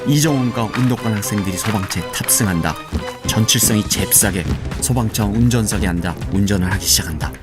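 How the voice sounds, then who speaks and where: Male narrator for a radio drama; low register, even descriptive read over music. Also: fast.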